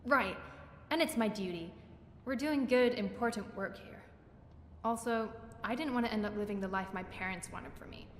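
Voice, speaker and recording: A woman's voice: medium pitch, normal speed, lightly acted. A woman playing an eager, awkward, bookish young woman in a fantasy video game, gushing and rambling as she corrects herself. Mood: flustered, chatty. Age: young adult. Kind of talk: video game character acting. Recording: echoey hall, faint background noise.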